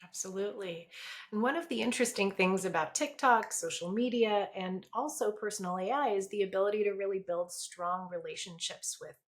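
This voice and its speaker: A woman's voice: mid-range voice, a little fast. A woman hosting an interview show, upbeat and enthusiastic, opening with a scripted intro and then asking questions. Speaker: podcast host.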